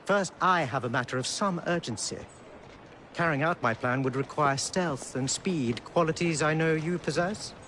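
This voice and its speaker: An actor playing a dignified, shrewd exiled Indian king in a video game, speaking in a formal, measured, courtly way. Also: fairly high pitch, a little hiss or hum. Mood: shrewd, composed. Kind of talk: video game cutscene acting. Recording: loud music behind the voice.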